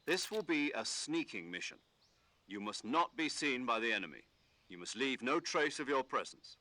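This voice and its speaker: Bright-voiced, authoritative military commander. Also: male voice, mid-range voice, big pitch swings, no echo. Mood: stern, wry. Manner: measured, instructive, authoritative. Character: male military commander briefing an agent, calm and authoritative. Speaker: mission commander giving radio briefing. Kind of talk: scripted video game voice acting.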